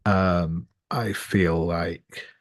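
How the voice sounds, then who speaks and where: A man playing a tabletop game, describing his character's actions in a halting, tentative, casual manner. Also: deep voice, fairly even intonation, no room sound, clean studio sound. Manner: halting, tentative, many trailing phrases. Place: remote online group call.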